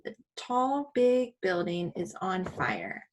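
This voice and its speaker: A woman teaching a children's English lesson, explaining in simple, deliberate phrases and posing questions to her pupils. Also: fairly low pitch, sing-song, a little hiss or hum, rough recording.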